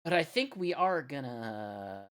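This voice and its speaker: A woman's voice: a bass voice, sing-song, slow. A woman hosting a casual live music stream, rambling and thinking aloud as she goes. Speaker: radio show host.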